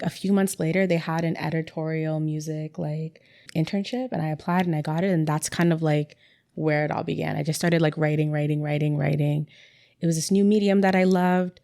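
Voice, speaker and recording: Chatty young woman, low voice. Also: darkish tone. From Canada. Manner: chatty, many "like" fillers and repeats. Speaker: interview guest, writer. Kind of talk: casual conversational interview.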